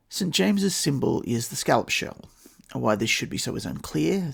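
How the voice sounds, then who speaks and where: A man's voice: mid-range voice, gravelly, breathy edge. A man with an Australian accent hosting a podcast, giving a dry, matter-of-fact scripted talk. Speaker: podcast host. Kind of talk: scripted solo podcast narration.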